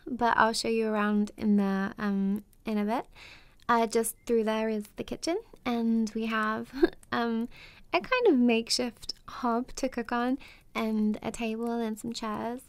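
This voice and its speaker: A young woman playing a warm, playful best friend in an intimate ASMR roleplay, chatting casually with a giggly, teasing, hesitant manner. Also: on the high side, fairly even intonation, unhurried, smooth, no echo. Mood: welcoming, fond.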